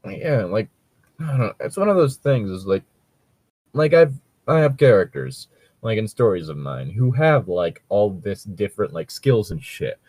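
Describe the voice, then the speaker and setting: A man's voice: on the low side, sing-song, unhurried, long pauses, a little mellow, slightly rough, dry recording. A man co-hosting a casual commentary chat, talking in a hesitant, filler-heavy and casually sweary way. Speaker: podcast co-host.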